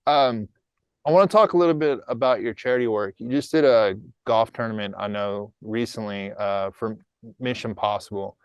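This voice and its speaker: A man hosts an interview and asks questions in an easy, casual way. His voice is smooth and clear at a mid pitch. The sound is dry and a little dull. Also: normal speed, dry recording. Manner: casual and conversational. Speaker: interview host.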